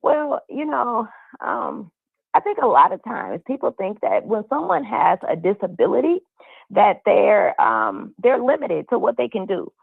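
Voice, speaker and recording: A hoarse woman, a police community liaison, speaking earnestly and upbeat with many fillers over the phone. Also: fairly high pitch, expressive, measured pace, dry recording. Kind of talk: phone-in interview. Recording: tinny phone line.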